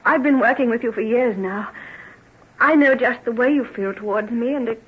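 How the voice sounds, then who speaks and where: An actress playing a devoted, self-effacing plain woman in love, emotional and halting, in an old-time radio drama. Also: a little high. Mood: hurt, doubting. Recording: muffled, rough old radio recording.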